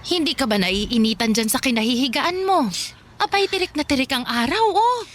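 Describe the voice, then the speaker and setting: A caring middle-aged lady in a radio play, fussing over a stranger in a high, lively voice that swings up and down. Her talk is folksy and kindly. Faint ambience hums behind her. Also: moderate pace, a little hiss or hum. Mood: concerned. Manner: warm-hearted, fussing, folksy. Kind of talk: radio drama acting.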